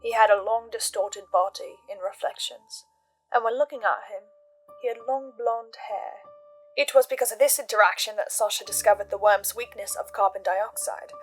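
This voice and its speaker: A woman hosting a recap show, laying out her notes and theories in a deliberate, measured way with dramatic pauses. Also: medium pitch, fairly even intonation, faint music behind, low-quality recording. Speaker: host of a podcast listener's reaction and theory show.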